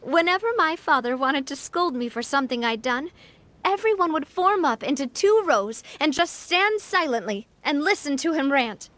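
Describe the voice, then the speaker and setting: A woman playing a refined, warm-hearted young leader in a video game, talking in a gentle, earnest, thoughtful way. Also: sits high, animated, moderate pace. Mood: amused. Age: young adult. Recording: background music.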